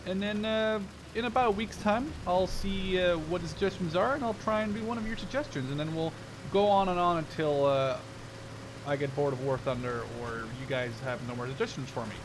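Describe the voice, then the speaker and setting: A man's voice: high-pitched, fairly quick. A man in his twenties giving casual, chatty, playful gameplay commentary, often thinking aloud as he plays. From Canada-based, Dutch. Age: 20s. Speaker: gaming video host. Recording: vehicle and game noise behind voice. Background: tank game sounds.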